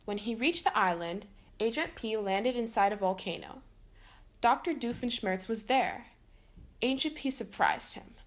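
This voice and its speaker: A woman with a fairly high voice reads a children's story aloud. She delivers it as scripted storytime narration, line by line from the book. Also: animated, unhurried. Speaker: storytime reader.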